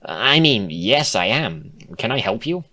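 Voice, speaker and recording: A man's voice: mid-range voice, big pitch swings, unhurried, sharp tone, voice pushed up. Sardonic young mare, acted by a man, put-upon, dry, exasperated, storybook reading. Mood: bewildered.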